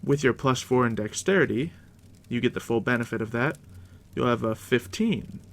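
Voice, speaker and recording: Man, ASMR game master, soft deep whisper, heavy vocal fry, unhurried, reassuring, close mic. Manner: unhurried, reassuring, talking directly to the listener. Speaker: game master guiding a new player.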